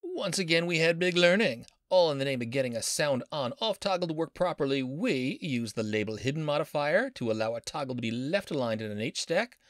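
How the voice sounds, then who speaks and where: A man's voice: on the high side, animated, brisk, hardly any pauses, slight background noise. A man teaching a coding lesson as a professor, playful and enthusiastic, with pop-culture jokes. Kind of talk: screen-recorded coding lesson.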